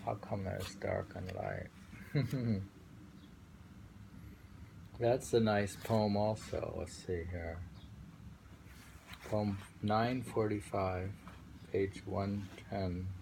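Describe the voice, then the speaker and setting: A man with a deep, creaky voice reads poetry and reflects on it informally. He takes his time, pausing to muse as he goes. The amateur recording carries faint noise. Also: darkish tone, poor audio. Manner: contemplative, pausing to muse between phrases. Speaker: poetry reader and commentator. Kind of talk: slow poetry reading with informal reflective commentary.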